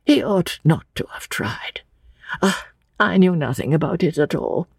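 Storybook old man, as a narrator acts him: quavering, breathy, halting, gentle and sad, very close dry sound. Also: medium pitch, animated, no echo, full character voice. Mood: sorrowful, apologetic. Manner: slow, halting, sighing.

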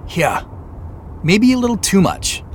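An anxious amateur sleuth in an audio drama. His mid-pitched voice moves around a lot, conversational and a little hesitant, earnest and stubborn. A faint vehicle hum sits behind him. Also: huge pitch swings, a little slow, full character voice. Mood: tense, insistent. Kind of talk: naturalistic audio drama acting.

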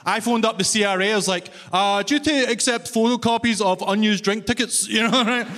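Wry Glaswegian comic on a hall stage. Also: male voice, high voice, brisk, a bit bright. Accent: Glaswegian Scottish. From Scotland. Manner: wry, self-mocking, asks the crowd "right?". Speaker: stand-up comedian. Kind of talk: live stand-up set. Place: large concert hall stage, audience laughing. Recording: live hall reverb, faint room noise.

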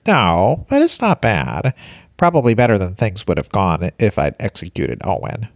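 A man's voice: on the low side, wildly swooping pitch, moderate pace, creaky, no echo, clean studio sound, exaggerated voice. A man playing a cantankerous, muddled retired alien fleet veteran in an audio drama, rambling and grumbling. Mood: grumbly, muddled.

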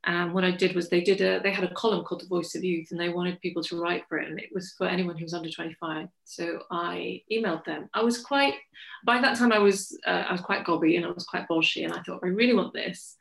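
A woman from England, a journalist telling her story in a forthright, lively interview. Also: on the low side, quick pace, creaky. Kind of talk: candid conversational storytelling. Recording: echoey room.

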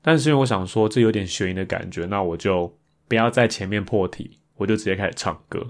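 A man's voice: fairly low pitch, fast. A man with a Taiwanese Mandarin accent, talking casually as a solo podcast host.

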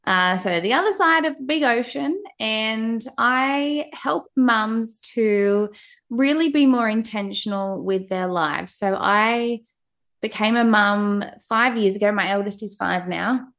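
A young Australian woman with an Australian accent, a podcast guest speaking in a warm, enthusiastic way. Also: a little high, takes it slowly.

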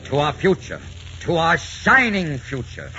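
An actor playing a tormented, devoted young writer in a 1940s radio drama, theatrical and fervent. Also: male voice, fairly high pitch, wildly swooping pitch, a little slow, very noisy, full character voice. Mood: tender, hopeful. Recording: dull vintage 1940s radio recording, music behind.

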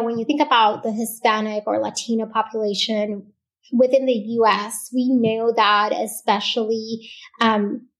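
A woman's voice: a little high, rough recording. A woman doctor from Puerto Rico whose first language is Spanish, speaking earnestly as a podcast guest, often tagging "right?" and using fillers. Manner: earnest, warm-hearted, with many fillers and "right?" tags. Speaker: physician guest. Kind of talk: podcast interview.

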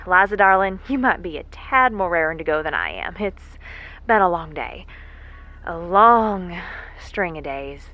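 An actress playing a weary, salty moon engineer in an audio drama, muttering to herself with effort and self-amused humour. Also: female voice, lowish voice, lo-fi sound. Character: weary, salty, wisecracking woman moon engineer working alone.

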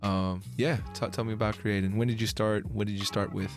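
Thirties male host, lowish voice, relaxed and encouraging, faint music under. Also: a little fast. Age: 30s. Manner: easygoing, affirming, asks open questions. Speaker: podcast host. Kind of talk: casual interview chat.

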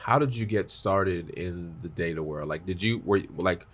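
A man hosting his podcast, chatting loosely and excitedly with a guest, full of fillers and restarts. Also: deep voice, moderate pace. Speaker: podcast host. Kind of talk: casual podcast interview.

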